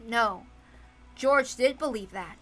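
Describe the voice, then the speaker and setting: A woman narrates a fantasy story in a high, bright voice. Her delivery is dramatic and scripted, like an audiobook reading of a visual novel. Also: slow pace, faint music behind.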